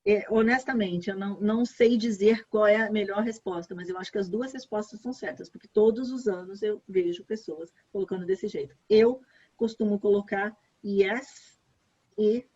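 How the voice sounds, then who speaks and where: A woman's voice: mid-range voice, fairly even intonation, moderate pace, darkish tone, husky. Chatty Brazilian woman on a video call. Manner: explanatory, chatty, repeats key points. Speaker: education advisor. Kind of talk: online workshop walkthrough. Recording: muffled lo-fi call audio.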